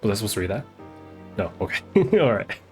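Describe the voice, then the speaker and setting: A man's voice: fairly low pitch, very animated, fairly quick. A man giving casual, slangy live commentary as he plays a game, offhand in his reactions. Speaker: game streamer. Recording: game music behind the voice.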